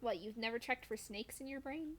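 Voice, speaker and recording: A woman's voice: on the high side, fairly quick. A woman chatting casually while playing a video game on a stream, playful and dryly whimsical. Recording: clear background noise.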